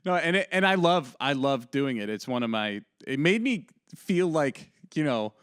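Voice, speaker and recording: A man's voice: mid-range voice, big pitch swings, normal speed, a bit bright, clear, resonant tone, dry recording, studio quality. A man hosting a podcast, easygoing and agreeable in casual chat. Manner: easygoing, agreeable, laughs often. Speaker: podcast host.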